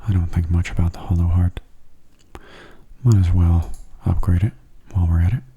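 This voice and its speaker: A man giving soft-spoken ASMR video game commentary in a breathy, hushed, often half-whispered voice, gentle and understated. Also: sits low, flat delivery, normal speed, narrow, tinny audio.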